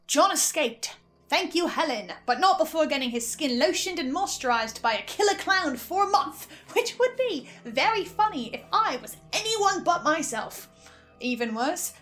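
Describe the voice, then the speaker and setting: A woman's voice: sits high, a bit bright. A woman giving casual, wry, sweary commentary as she recaps and reacts to a podcast. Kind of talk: casual first-person commentary with theorizing. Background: music.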